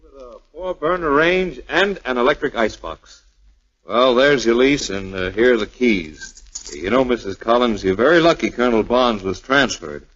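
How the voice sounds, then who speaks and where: Casting: polite house agent, vintage 1940s radio drama, husky tone, muffled lo-fi sound. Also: male voice, medium pitch, lively intonation, normal speed, fairly bright tone. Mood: genial.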